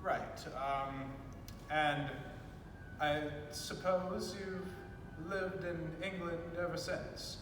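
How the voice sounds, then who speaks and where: Man playing a halting, high-voiced elderly British general. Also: steady tone. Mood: puzzled, courteous. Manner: halting, stammering, formal old-fashioned politeness. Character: flustered, courteous elderly British gentleman general. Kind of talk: student stage play acting. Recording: echoey hall, distant mic, faint rumbling noise.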